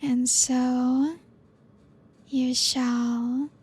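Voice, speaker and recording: A young woman voicing a possessive, ancient female vampire in an ASMR roleplay, breathy and whispery, teasing slowly with soft laughs. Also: high voice, level tone, exaggerated voice, rough recording.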